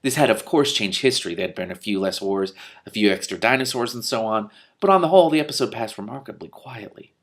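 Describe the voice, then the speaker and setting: A man's voice: on the low side, animated, fast. A man reading a comic novel aloud, stumbling and restarting on words and breaking into disbelieving outbursts. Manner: breaks off narration with loud disbelieving swearing. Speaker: reader of a novel with commentary.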